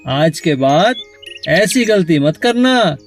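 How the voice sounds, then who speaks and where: A woman's voice: fairly low pitch, animated, takes it slowly, faint music behind, voice pushed down. A woman voicing a stern male merchant in a children's story. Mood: stern, scolding. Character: stern male merchant scolding his donkey.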